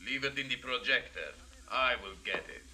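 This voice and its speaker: An actor playing a cold-blooded criminal gunman in a 1940s radio drama, deliberate and threatening. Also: medium pitch, expressive, bright, forceful tone. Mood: cold menace. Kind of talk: 1940s radio villain acting.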